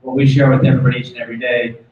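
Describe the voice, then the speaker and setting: A man's voice: medium pitch, little pitch movement, racing. American male executive, informal motivational speaker, dull distant sound in a heavily reverberant meeting room. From United States. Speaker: corporate executive, guest speaker. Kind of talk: informal motivational product talk. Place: meeting room, speaking before small audience. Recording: very echoey room, distant muffled mic.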